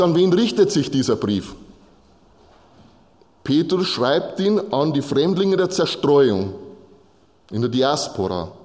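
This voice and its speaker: A man's voice: mid-range voice, darkish tone. Male preacher in a reverberant hall. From Bavaria, Germany. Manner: explanatory, direct address with short repeated phrases. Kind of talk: sermon, teaching from Bible texts to a congregation.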